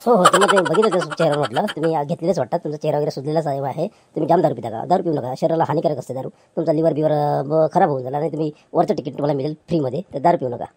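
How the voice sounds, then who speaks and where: A woman's voice: low voice, racing, few pauses, slight background noise, natural voice. A woman voicing a poor, meek male chair seller who pleads and placates with stammering restarts. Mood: placating.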